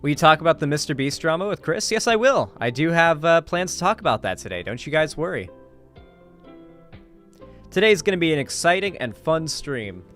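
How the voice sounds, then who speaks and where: A man hosting a live stream, chatting casually and informally with his viewers in an animated way. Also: a little high, moderate pace, soft background music. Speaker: livestream host.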